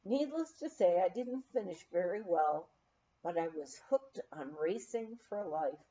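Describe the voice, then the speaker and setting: A woman reads a memoir aloud in an expressive, measured storytelling manner, on a somewhat dull recording. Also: fairly low pitch. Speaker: audiobook reader.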